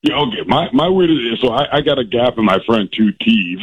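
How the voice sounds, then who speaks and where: A man calling in to a radio show, with a deep voice and a lisp. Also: lively intonation, fairly quick, slightly rough. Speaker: radio caller. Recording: phone line.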